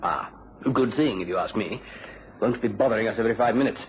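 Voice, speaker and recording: An actor playing a dismissive British government official in a radio drama, speaking in clipped phrases. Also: male voice, lowish voice, quick pace, lightly acted. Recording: dull, noisy radio recording.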